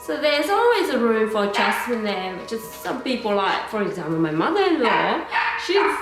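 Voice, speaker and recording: Woman householder, bright and higher, echoey home. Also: very animated, moderate pace, loud music behind the voice. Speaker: householder interviewee. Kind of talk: casual interview answers. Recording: echoey room.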